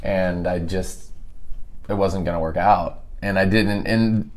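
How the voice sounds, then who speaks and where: A man in his thirties, a podcast guest, chatting casually in a loud, energetic and jokey way. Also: sits low, slow pace. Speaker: guest, coffee business owner.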